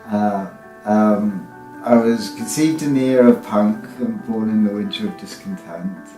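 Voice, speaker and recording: A man's voice: fairly low pitch, unhurried, warm tone. A man, telling stories of his childhood in a candid, wry way. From West Wales. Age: 30s. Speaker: interviewee. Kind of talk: personal recollection. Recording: roomy recording with faint music.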